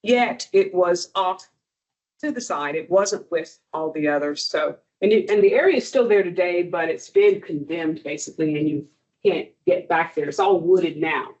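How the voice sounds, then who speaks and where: A woman's voice: fairly low pitch, moderate pace, a little mellow. A woman historian giving an informal lecture to an audience, talking loosely with frequent ums and restarts. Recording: dull, muffled lo-fi sound.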